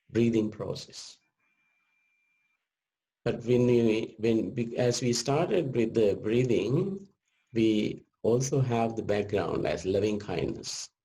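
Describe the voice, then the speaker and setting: A man leading a guided meditation as a teacher, speaking gently in short measured phrases with long pauses. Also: on the low side, rough recording.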